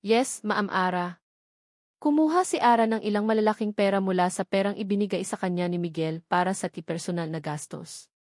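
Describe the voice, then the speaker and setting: A woman's voice: mid-range voice, fast talker, a little mellow, no echo, clean studio sound. A woman reading a scripted, dramatic audiobook story as its narrator.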